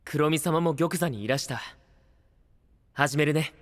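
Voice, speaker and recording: An actor playing a gentle, courteous young companion and ceremony officiant in an anime-style voice drama. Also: male voice, a little high, measured pace, long reverb tail, natural voice. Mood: gentle, supportive. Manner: soft-spoken, polite, formal when officiating.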